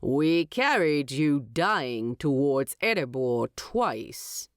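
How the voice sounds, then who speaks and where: A haughty king of the eagles, acted by a woman narrator, pompous and drily amused. The delivery is regal and unhurried, with wide swings of pitch. It has the manner of a fantasy storybook, recorded very dry. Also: sing-song, no echo, full character voice. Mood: wry. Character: haughty, vain king of giant eagles, male. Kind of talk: audiobook storytelling.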